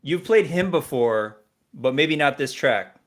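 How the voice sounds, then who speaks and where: A man's voice: medium pitch, lively intonation, unhurried. A man co-hosting a music radio show with casual, easygoing, informal banter.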